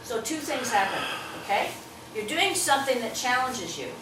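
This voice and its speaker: A woman's voice: a little high, expressive, moderate pace. A woman running a live presentation workshop, teasing and laughing as she coaches a volunteer and acts out nervous thoughts. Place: meeting room with audience. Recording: roomy, distant mic, background noise.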